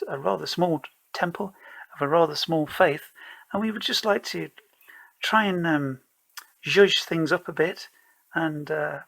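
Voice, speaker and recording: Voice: mid-range voice, gravelly, fairly bright tone, poor audio. A tabletop gamer voicing a humble goblin priest who speaks in a polite, hesitant, ingratiating way. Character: humble, wheedling goblin priest of a small temple.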